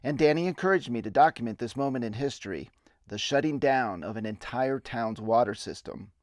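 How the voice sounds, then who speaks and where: A middle-aged man giving a dramatic reading of his own memoir in deliberate, theatrical storytelling. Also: medium pitch, expressive, dry recording, clean studio sound. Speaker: narrator and videographer. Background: music bed.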